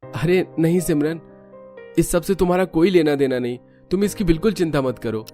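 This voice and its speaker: A man's voice: on the high side, normal speed, warm tone, soft background music, pitched above the performer's own voice, natural voice. A man voicing a kind, protective young brother in a cartoon story, modest and reassuring. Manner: reassuring, considerate. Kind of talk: Hindi cartoon story acting.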